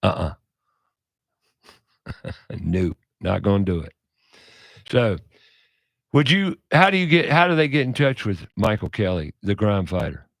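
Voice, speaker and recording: A man hosting a radio talk show, speaking in a loud, passionate, preachy way. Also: fairly low pitch, big pitch swings, a little slow, gravelly, long pauses, no room sound, studio quality.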